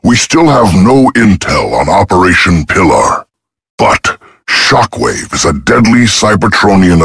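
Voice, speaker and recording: An actor voicing a brisk, businesslike robot officer in a video game, giving matter-of-fact mission briefings. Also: male voice, deep voice, vocal fry, a bit bright, breathy edge, no room sound, exaggerated voice.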